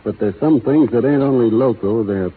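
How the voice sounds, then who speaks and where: An actor playing a steady, earnest cowboy with a slow western drawl in a 1930s radio drama, tinny old broadcast sound. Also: male voice, medium pitch, slight background noise, close to an everyday voice.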